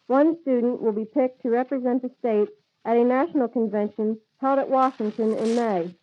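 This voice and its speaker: A woman's voice: on the high side, normal speed. A teenage American young woman reading a scripted student report on an old lo-fi 1940s radio broadcast. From United States. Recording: dull lo-fi 1940s radio recording.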